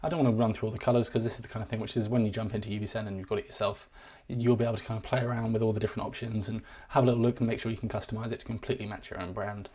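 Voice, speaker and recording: A man giving a live-streamed software walkthrough, rambling and self-deprecating, his voice wearing thin. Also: on the low side, little pitch movement, rapid-fire, few pauses, husky. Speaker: software demo presenter.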